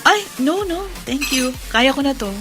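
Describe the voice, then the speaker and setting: A woman's voice: sits high, expressive, moderate pace, music in the background. An actress playing an earnest, hospitable single mother in a radio drama, polite and hesitant, with a Filipino accent.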